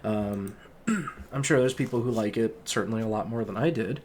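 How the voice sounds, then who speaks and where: A man's voice: fairly low pitch, normal speed, a little mellow, slight background noise. Sick man rambling about an album. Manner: rambling, many fillers and restarts. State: sick, sniffly voice. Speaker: music vlogger. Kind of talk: casual spoken album review.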